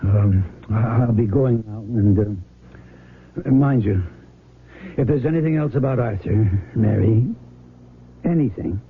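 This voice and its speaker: An actor playing a calm, plain-spoken small-town sheriff who questions in a measured, folksy way in a 1970s radio drama. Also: male voice, fairly low pitch, breathy edge. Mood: sober, reassuring. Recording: muffled, noisy vintage radio broadcast.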